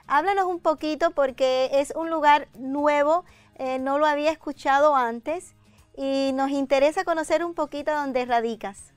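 A middle-aged woman with a high-pitched voice hosts an interview in a conversational style. The studio sound is very dry and close, with faint music behind her. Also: moderate pace, no room sound. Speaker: programme host. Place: indoor TV studio set with armchairs.

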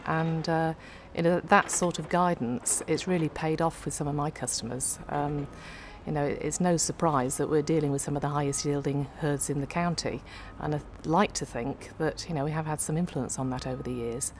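A woman's voice: deep voice, steady tone, normal speed, hardly any pauses, slight background noise. A middle-aged woman who works as a calf nutrition specialist, explaining practical advice to an off-camera interviewer, with frequent ums, in an indoor farm feed store. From United Kingdom.

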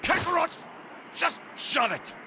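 Proud anime fighter, scornful, gravelly, swinging pitch. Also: male voice, sing-song, takes it slowly, noisy background, heavily acted. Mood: irate. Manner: haughty, scornful. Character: proud, arrogant adult male alien warrior. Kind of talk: anime game voice acting.

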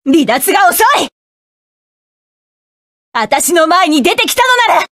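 A proud, sharp-tongued woman mecha pilot snaps curt battle orders in a high voice. She takes her time over each short game line, and the sound is close and dry. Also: animated, measured pace, no room sound, heavily acted. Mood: fierce, commanding. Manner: curt, commanding barks. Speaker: game character. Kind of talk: video game battle voice lines.

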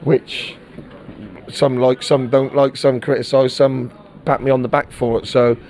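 Man, middle-aged, football manager, frank post-match talk, stumbles and repeats words, mid-pitched voice. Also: little pitch movement, unhurried, darkish tone. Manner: candid, repeats words while searching for them.

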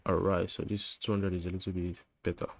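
Man, deep voice, monotone delivery, coding tutorial, thinking aloud while typing, fillers and restarts. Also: fairly quick, gravelly. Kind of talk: screen-recorded coding tutorial.

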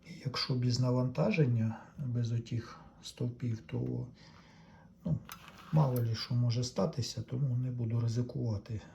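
A man's voice: mid-range voice, fairly even intonation, normal speed. A man casually narrating a hands-on repair for his viewers, explaining step by step in a plainspoken way. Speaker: electronics repair vlogger. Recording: clearly noisy home-made video recording.

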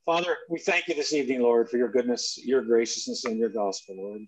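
A man's voice: mid-range voice, fairly quick, slight background noise, rough recording. A man who is the pastor, introducing the teacher and leading an opening prayer on a video call.

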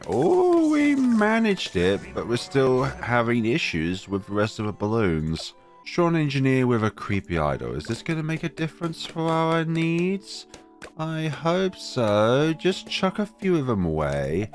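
Guy with a head cold doing game commentary, deep voice but bouncy, bit of music under it. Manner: wry, talks to the game units. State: has a cold, voice deeper than usual. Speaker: game guide creator. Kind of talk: casual gameplay commentary.

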